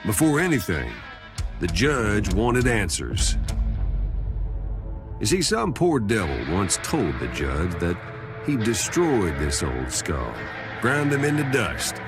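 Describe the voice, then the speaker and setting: An actor playing a wry old talking skull who narrates a game's cutscenes in a folksy, sardonic Southern American drawl. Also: male voice, lowish voice, huge pitch swings, slow pace, exaggerated voice. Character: wry old talking skull, male demonic drifter storyteller. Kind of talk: scripted game cutscene narration. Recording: music behind the voice.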